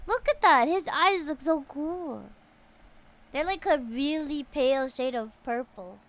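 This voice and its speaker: A woman's voice: sits high, a little slow, fairly bright tone. A woman, chatting casually and reading game dialogue aloud on a livestream. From Philippines. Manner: chatty, reacts with exclamations.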